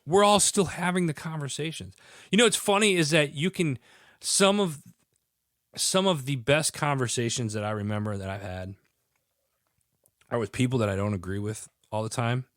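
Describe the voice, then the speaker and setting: A man's voice: mid-range voice, moderate pace, a bit bright, dry recording, studio quality. A man hosting a casual podcast chat, stuffy with a head cold, talking earnestly and rambling with frequent restarts. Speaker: podcast host.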